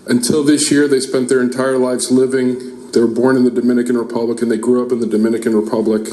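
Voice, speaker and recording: Man, 40s, parent at a public meeting podium: earnest, heartfelt prepared remarks with some halting restarts; echoey room. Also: mid-range voice, level tone, dark tone. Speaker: parent giving public comment.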